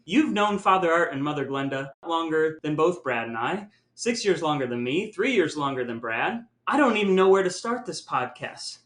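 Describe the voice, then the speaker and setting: Higher-voiced American man hosting in a roomy space. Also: a bit bright. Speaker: podcast host. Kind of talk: casual podcast hosting. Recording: roomy sound with music.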